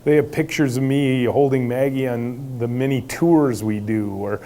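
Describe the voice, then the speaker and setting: Middle-aged man, big booming voice, animated storyteller, medium pitch, faint background hiss. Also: a little slow. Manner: animated storyteller acting out dialogue, some restarts. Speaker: company leader giving a talk. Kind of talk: storytelling talk to an audience.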